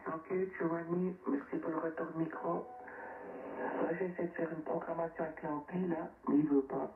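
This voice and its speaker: A man's voice: on the high side, little pitch movement, moderate pace, far from the mic. A man taking part in an amateur radio net, giving a casual station report with muttered asides over a radio transmission. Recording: narrowband shortwave radio, echoey, clearly noisy.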